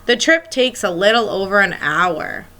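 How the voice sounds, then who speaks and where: A woman's voice: mid-range voice, expressive, moderate pace, pushed and bright. A woman schoolteacher reading a children's picture book aloud, expressively and as if speaking directly to young listeners.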